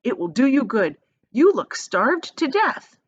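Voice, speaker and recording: A woman's voice: high-pitched, very animated, unhurried, breathy edge, muffled recording, pitched above the performer's own voice, exaggerated voice. A woman voicing an exuberant, eccentric male factory owner in a children's storybook, bossy and delighted, with showy, urging exclamations. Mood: excited, concerned. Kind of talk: children's storybook read-aloud.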